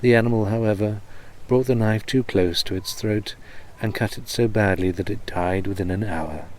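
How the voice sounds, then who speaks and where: A man with a fairly low voice serves as storyteller, reading an old comic tale aloud. His delivery is that of a narrated storybook. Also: steady tone, moderate pace, darkish tone.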